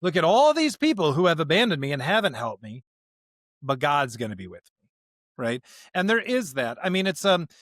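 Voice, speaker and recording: Male podcast co-host, lively swooping intonation, slightly high voice, informal slangy scripture chat. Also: moderate pace, dry recording. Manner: informal, animated paraphrasing in everyday slang. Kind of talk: casual scripture discussion.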